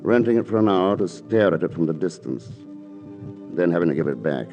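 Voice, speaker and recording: Teasing romantic painter, dark warm tone, 1940s radio acting, dull recording, music behind. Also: male voice, low voice, lively intonation, fairly quick. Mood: tender, regretful. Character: suave, teasing, wistful male painter in romantic comedy.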